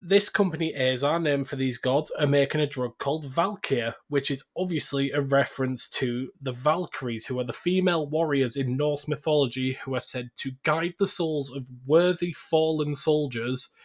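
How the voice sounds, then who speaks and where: A man's voice: medium pitch, moderate pace, few pauses. A man talking casually as a podcast host about film, opinionated and swearing freely.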